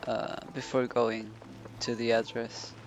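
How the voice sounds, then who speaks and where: A woman streaming a game, giving casual, low-key commentary in halting, muttered asides. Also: flat delivery, noisy background. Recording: faint game music behind the voice.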